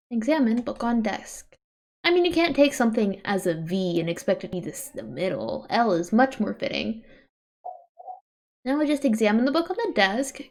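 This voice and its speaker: A woman's voice: medium pitch, a little mellow. A woman reading a webcomic aloud on a livestream in an informal way, breaking off for casual asides and self-corrections.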